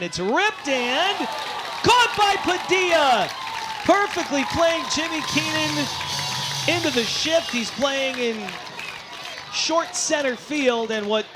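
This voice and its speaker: A man calling a baseball game live as play-by-play announcer, excitable and given to shouting on big plays. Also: very high-pitched, heavy background noise. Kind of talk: live sports commentary. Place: outdoor baseball ballpark.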